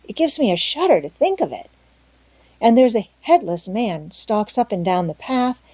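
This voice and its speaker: A woman voicing an excitable, imaginative young girl with a breathless, dramatic, talkative manner in a storybook reading. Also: medium pitch, husky, no echo. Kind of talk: storybook character voice in audiobook.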